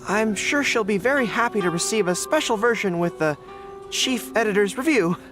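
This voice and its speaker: Flustered, earnest young soldier, expressive. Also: male voice, high voice, normal speed. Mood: awkward, polite. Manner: hesitant, stammering, polite. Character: earnest young dog-eared soldier, male, flustered but dutiful. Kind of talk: video game voice acting. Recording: game music behind.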